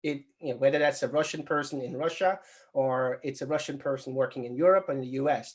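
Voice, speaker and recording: A man answering questions casually as a recruitment trainer, thinking aloud and rambling as he explains. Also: medium pitch.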